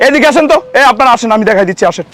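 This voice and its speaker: Quick-talking Bangladeshi foreman. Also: very high-pitched, expressive, fairly bright tone. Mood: exasperated. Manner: instructive, repeats himself. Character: brisk but caring male boss of migrant workers. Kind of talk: TV drama acting.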